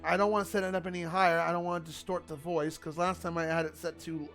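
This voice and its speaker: Loud, chatty male game streamer. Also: a little high, level tone, fast talker, soft background music. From Italian family. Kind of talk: casual gaming livestream chat.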